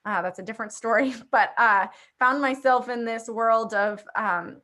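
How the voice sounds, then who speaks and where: Bright-voiced woman hosting a video call. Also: fairly high pitch, normal speed. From Afghanistan and Pakistan. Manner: upbeat, conversational, many fillers. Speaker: host presenting a church software platform. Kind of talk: casual video call presentation.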